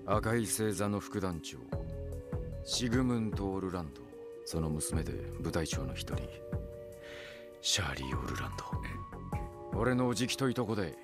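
A man playing a grim young ex-mercenary in a game, terse and brooding. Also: low voice. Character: grim young male ex-mercenary confronting his past. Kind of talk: Japanese game voice acting. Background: game music.

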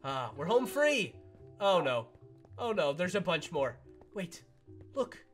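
A woman playing an excitable, jumpy animatronic fox in a chatty, theatrical Minecraft roleplay. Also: fairly low pitch, slow pace, faint music behind. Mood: relieved, upbeat. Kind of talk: improvised Minecraft roleplay for a family audience.

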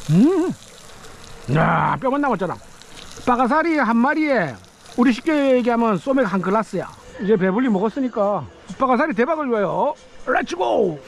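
A man's voice: very high-pitched, vocal fry, slight background noise. A man vlogging on a rocky riverbank, chatting and exclaiming to himself as he eats and fishes, recorded on a head-mounted camera. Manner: chatty, exclaiming, talks to himself while eating and fishing. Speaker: fishing vlogger. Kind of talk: casual outdoor vlog narration. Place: rocky riverbank outdoors at night and day. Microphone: head-mounted action camera.

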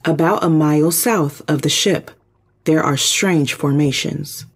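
A calm spaceship computer voiced by an actor reports in a sci-fi drama. The voice is medium in pitch and husky, with formal, precise wording. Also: a little slow. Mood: neutral, precise. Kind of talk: sci-fi audio drama acting.

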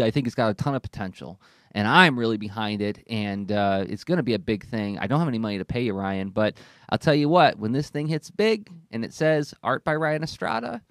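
A man in his forties hosting a casual talk show, speaking in an animated, emphatic way. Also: medium pitch, no room sound, studio quality. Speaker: podcast host. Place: studio with a dark backdrop. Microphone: close broadcast mic on boom arm, headset.

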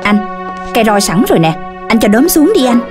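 A woman voicing a firm but consoling mother dog in a children's cartoon, with a slightly husky voice. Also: a little high, sing-song, brisk, over loud music. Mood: stern. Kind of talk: Vietnamese children's cartoon dubbing.

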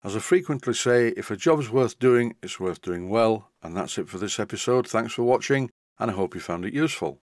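Dry-humoured older man, low voice. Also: lively intonation, fairly quick, hardly any pauses, dry recording. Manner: self-deprecating, dry humour. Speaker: model engineering tutor. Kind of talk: scripted voice-over narration.

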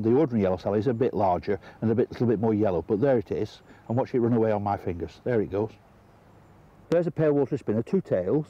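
A man's voice: on the low side, mellow, lo-fi sound. Older man explaining river insects. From England. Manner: unhurried, explanatory, pointing things out. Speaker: fly fishing entomology presenter. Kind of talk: outdoor nature demonstration. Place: outdoors by an upland river among vegetation.

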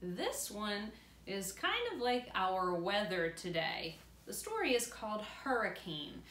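A woman in her thirties reads a storybook aloud to children as a teacher, pausing to explain things in simple words. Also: medium pitch, very animated, a bit bright. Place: indoor room beside a large glass door. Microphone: camera a few feet away. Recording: roomy, distant camera mic with faint noise.

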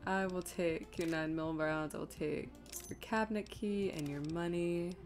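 A woman's voice: lowish voice, steady tone, normal speed, faint music behind. A woman giving casual live commentary as she streams a video game, muttering to herself in an exasperated, self-deprecating way.

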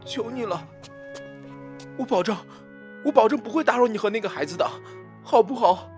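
A man's voice: sits high, moderate pace, a little mellow, soft background music, close to an everyday voice. A man playing a young rejected ex-lover in a radio drama, imploring, emotional and insistent. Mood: desperate, pleading. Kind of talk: web radio drama acting.

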